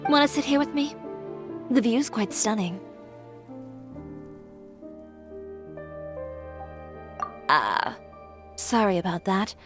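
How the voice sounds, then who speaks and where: A woman's voice: mid-range voice, very animated, moderate pace, lightly acted. An actress playing a confident, assertive young female fashion designer in an anime-style game, composed, frank and dryly self-assured. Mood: calm, relaxed. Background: game music.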